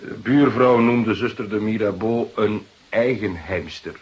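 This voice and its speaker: An earnest junior police detective in a radio play. His voice sits fairly low and he reports dutifully, eager to explain, repeating words now and then. The sound is somewhat muffled. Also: slow pace. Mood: matter-of-fact. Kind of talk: Dutch radio drama acting.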